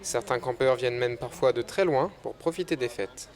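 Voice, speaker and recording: A man's voice: medium pitch, moderate pace. Man reading a news voice-over. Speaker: reporter.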